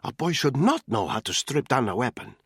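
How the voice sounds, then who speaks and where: A man's voice: on the high side, very animated, moderate pace, gravelly, slightly rough, no room sound, studio quality, voice pushed up, full character voice. A man voicing a tense, defiant mother. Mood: tense defiance. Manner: tense, hissing, urgent. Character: frightened, defiant woman, a boy's mother.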